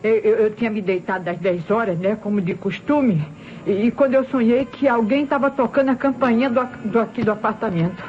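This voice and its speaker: A woman's voice: on the high side, a little fast, natural voice. A woman playing a nervous, deferential housemaid in an old radio mystery, stammering and repeating herself with a Brazilian accent. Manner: stammering, repetitive, servile. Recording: muffled old radio recording, music.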